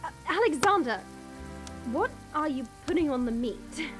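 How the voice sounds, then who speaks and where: A woman playing a haughty, quick-tempered young dragon princess turned human, imperious and formal, in an audio drama. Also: sits high, expressive, over music. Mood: curious, matter-of-fact. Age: 20s.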